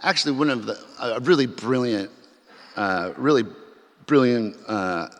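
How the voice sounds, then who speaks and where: A man's voice: medium pitch, expressive, a little slow. A man speaking to a symposium audience, telling a personal story in a conversational way with frequent restarts and fillers. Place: large chapel. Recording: long hall reverb.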